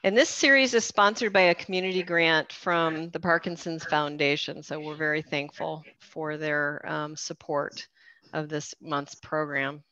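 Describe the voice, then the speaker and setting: Low-voiced woman hosting a video call. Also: fairly even intonation, measured pace, pushed and bright. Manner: friendly, explains step by step with fillers. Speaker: fitness program coordinator and event host. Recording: dry, slightly dull video-call audio.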